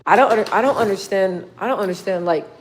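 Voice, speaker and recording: A woman with a low, bassy voice talks casually to her viewers. She wanders from topic to topic, reading their comments aloud and cursing now and then. Her pitch sits in the middle of the usual range for her speech. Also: fast talker, slight background noise. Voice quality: deep, bassy voice. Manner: rambling, reads viewer comments aloud, swears. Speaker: livestream host.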